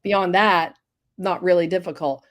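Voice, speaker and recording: A middle-aged woman speaks over a video call, her voice slightly low and scratchy as she recovers from bronchitis. She talks in a halting way, with frequent ums and restarts. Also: fairly even intonation. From Tennessee. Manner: hesitant, many fillers. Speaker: guest, health coach. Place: home office.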